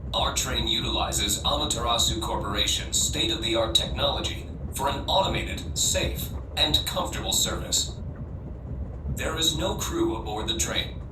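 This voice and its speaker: A man playing a polite automated train announcer in a video game, making formal, measured scripted announcements. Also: medium pitch, close to an everyday voice. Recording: echoey distant PA, noisy train ambience.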